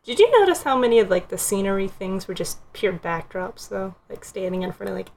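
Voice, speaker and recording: A woman's voice: medium pitch, a little fast, gravelly, darkish tone. A woman hosting a casual group discussion, guiding it along in conversational speech. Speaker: podcast host leading a film discussion.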